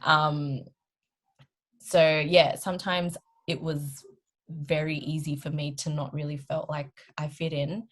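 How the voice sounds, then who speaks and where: This is a young Australian woman in her twenties with a notably low voice. She co-hosts a podcast in a loose, conversational style. Her accent is Australian. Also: flat delivery, slow. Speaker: podcast co-host. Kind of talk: casual group chat.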